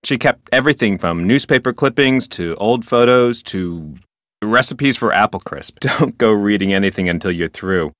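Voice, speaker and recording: A man's voice: fairly low pitch, expressive, moderate pace, a bit bright, dry recording, clean studio sound. A man playing an officious, rule-bound park ranger in an adventure game, with a formal, wordy manner. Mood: informative, matter-of-fact. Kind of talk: scripted adventure game dialogue.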